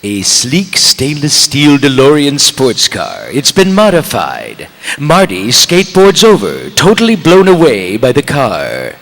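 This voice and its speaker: Theatrical, swooping narrator impression. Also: male voice, mid-range voice, huge pitch swings, a little slow, a bit bright, full character voice. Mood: amused, grand. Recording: live stage, long hall reverb.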